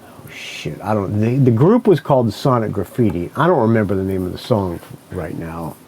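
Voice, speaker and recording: Podcast guy rambling thru a story, warm mellow tone, keeps correcting himself, swears a lot. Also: fairly low pitch, animated, normal speed, slight background noise, rough recording. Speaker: podcast host. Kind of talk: casual solo storytelling.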